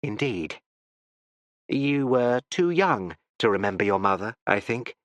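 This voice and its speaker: A male audiobook reader voicing a cold, measured older judge with an imperturbable, dry manner. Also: mid-range voice, lively intonation, fairly bright tone, no echo. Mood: cutting.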